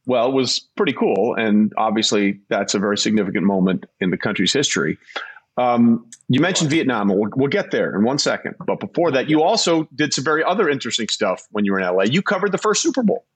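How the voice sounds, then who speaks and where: A man's voice: medium pitch, lively intonation, quick pace, slightly rough, low-quality recording. An American man hosting a casual, conversational interview. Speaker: interviewer, host.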